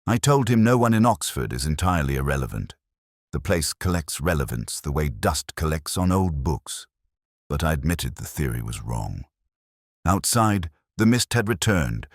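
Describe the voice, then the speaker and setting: A man narrating a scripted first-person detective story in a measured, reflective, literary manner. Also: extremely low pitch, no room sound, clean studio sound.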